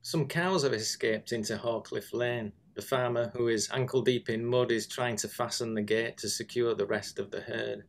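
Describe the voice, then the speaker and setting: A man's voice: medium pitch, steady tone, moderate pace, creaky, few pauses. A man reading scripted literary narration. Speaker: reader.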